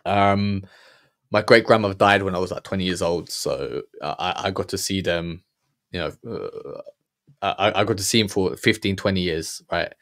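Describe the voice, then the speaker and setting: A man's voice: low-pitched, moderate pace, fairly bright tone. A man in his thirties giving blunt, heated reaction commentary with lots of stammered restarts, recorded on a studio mic in a small room. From Germany. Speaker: podcast host reacting to a documentary. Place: small room with purple lighting. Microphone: studio mic on boom, earphones.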